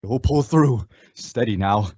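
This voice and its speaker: Man acting as steady western hero, medium pitch, commanding, reassuring, radio drama, muffled sound. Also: unhurried, husky, dry recording. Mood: steady, concerned.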